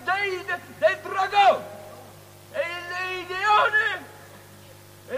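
An actor playing a raving desert prophet crying out from a cistern, declamatory, with halting, stammered repetitions, in avant-garde radio drama. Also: male voice, very high voice, pushed and bright, heavily acted. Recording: cavernous long reverb, faint noise.

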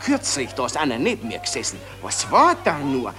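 A man's voice: medium pitch, huge pitch swings, fairly quick, music in the background. An actor playing a gossipy man in the street. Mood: chatty. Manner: gossipy, chatty.

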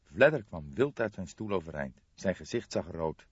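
A man's voice: deep voice, sing-song, moderate pace, slightly rough, dry recording. A man narrating a detective novel as an audiobook in a scripted, dramatic storytelling style. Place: dry, close recording. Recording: slightly dull, lo-fi sound.

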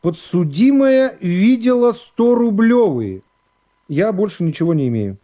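A pompous prosecutor played by a male narrator speaks in a radio courtroom reading. His high voice stretches out formal questions with false naivety and sly insinuation. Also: very animated, a little slow, mellow. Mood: insinuating, smug. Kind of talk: dramatised literary reading.

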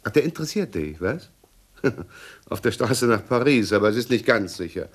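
An actor playing a weary, talkative, suspicious husband in a 1960s radio crime play. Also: male voice, lowish voice, animated, moderate pace. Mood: tired, suspicious.

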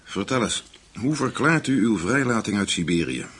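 An actor playing a composed, shrewd American CIA agent in a radio drama, measured, polite and probing. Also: male voice, lowish voice, animated, a bit bright, breathy edge. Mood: coolly probing. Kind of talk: Dutch radio drama acting.

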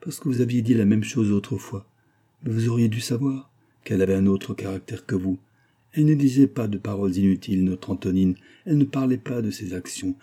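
Storybook role: dignified old female servant read by a man, solemn and plain-spoken, very mellow, rough-edged voice. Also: lowish voice. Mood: accusing grief. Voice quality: grave voice.

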